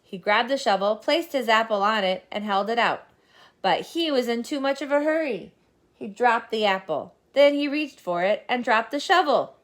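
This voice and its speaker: A woman reading a children's storybook aloud as scripted, expressive narration. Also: fairly high pitch, moderate pace. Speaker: storybook reader.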